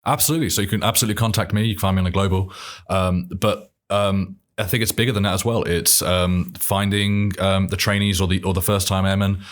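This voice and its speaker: A man's voice: low-pitched, fairly even intonation, fast talker. A man with a British accent, a guest chatting in an easygoing, joking way with frequent ums. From United Kingdom. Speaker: podcast guest, airman. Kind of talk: casual interview chat.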